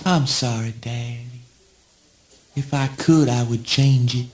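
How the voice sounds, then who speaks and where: Man playing a slow, mellow, pleading son. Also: mid-range voice, steady tone, a little hiss or hum, close to an everyday voice. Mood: wistful, sorry. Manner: halting, plaintive, drawn-out phrases. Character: dim, pleading son devoted to his father. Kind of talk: theatrical spoken word audio play.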